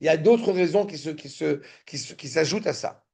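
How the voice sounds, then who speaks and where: A man teaching a Torah study class, halting and repeating words, often checking that listeners follow, sometimes insistent. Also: fairly high pitch, vocal fry, darkish tone. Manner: turns insistent and indignant.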